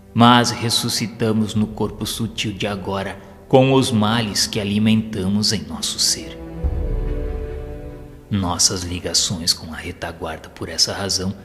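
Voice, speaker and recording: A man reading a solemn audio novel in a measured, formal, sermon-like way. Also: lowish voice, fairly bright tone. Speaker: audiobook narrator. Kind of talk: solemn scripted narration of a spiritist audio novel. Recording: long reverb with music underneath. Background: music.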